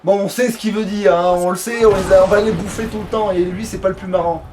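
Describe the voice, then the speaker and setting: A man's voice: high voice, steady tone, fast talker, noisy background. A man chatting casually and playfully over gameplay, slangy and prone to swearing, as a let's play streamer.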